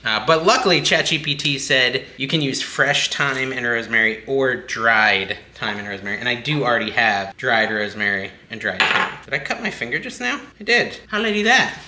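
A chatty, excitable man in his thirties talks through a recipe in his home kitchen, his voice slightly worn as he is losing it. The sound comes from a backup mic at a distance, roomy and echoey with a steady noisy hiss under it. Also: mid-range voice, fairly quick, few pauses. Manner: chatty, self-deprecating, excitable. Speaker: cooking channel host. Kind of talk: casual cooking vlog. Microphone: backup camera mic at a distance.

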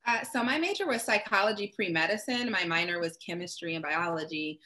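A woman answering as a panelist over a video call, upbeat and animated. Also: on the low side, brisk. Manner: upbeat, gestures while speaking.

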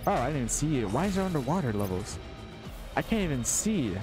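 A man's voice: medium pitch, sing-song, fast. Chatty young man streaming a game. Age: young adult. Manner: chatty, reads chat aloud, swears and yelps at sudden enemies. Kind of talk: casual live-stream chat while gaming. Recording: game music playing under the voice.

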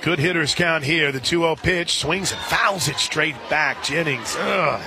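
A man working as a radio play-by-play announcer, calling a live softball game. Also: fairly high pitch, measured pace. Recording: outdoor stadium crowd noise behind. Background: ballpark crowd and outdoor ambience.

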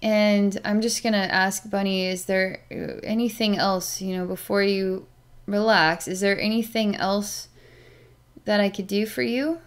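Middle-aged female animal communicator, medium pitch, meditative and halting, narrating a session as it unfolds. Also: fairly even intonation, clean tone. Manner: halting, meditative, with long pauses and sighs. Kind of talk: slow narration of a psychic session with pets, thinking aloud. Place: small plain room indoors.

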